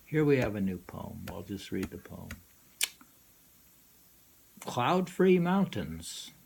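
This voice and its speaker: Slow, gravelly man reading poetry. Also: on the low side, very animated, darkish tone. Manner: halting, musing, repeats lines. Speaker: poetry reader and commentator. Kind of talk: informal poetry reading with musing commentary. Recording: noisy amateur recording.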